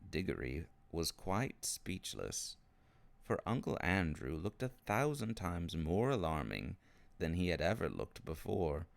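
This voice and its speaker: A man reading children's fiction aloud as an expressive scripted narration. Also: low-pitched, measured pace, creaky, dry recording. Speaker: storybook reader.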